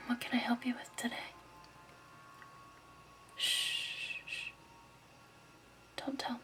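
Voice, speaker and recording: A woman playing a gentle, soothing crystal shop keeper in a hushed, patient ASMR roleplay whisper. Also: fairly high pitch, level tone, slow, soft background music.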